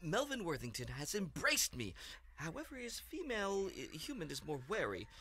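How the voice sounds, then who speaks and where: A man's voice: on the high side, wildly swooping pitch, moderate pace, bright, forceful tone, exaggerated voice. Man acting pompous, fawning alien underling, wildly swooping. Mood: fawning report. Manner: pompous, obsequious, grandiloquent. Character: scheming flattering alien underling reporting to his ruler. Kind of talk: comedic sci-fi audio drama acting.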